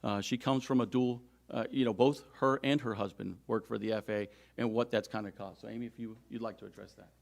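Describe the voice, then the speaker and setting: A man speaking as a union leader at a press conference, emphatic but halting as he makes his points. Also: on the low side, steady tone, creaky.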